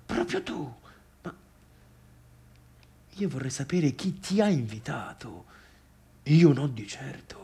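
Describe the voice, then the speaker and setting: A man's voice: on the high side, vocal fry, darkish tone, breathy edge, exaggerated voice. A man playing a bitter adult son in a theatrical monologue, intense and reproachful, at times sinking to a near-murmur. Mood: agitated, pleading. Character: tired, bitter adult son confronting his overbearing father.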